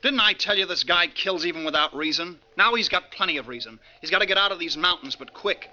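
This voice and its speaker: A man's voice: high voice, quick pace, sharp tone. An American actor playing a stern male asylum guard in 1940s radio drama style, clipped and official. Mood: grim warning. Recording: muffled 1940s radio recording.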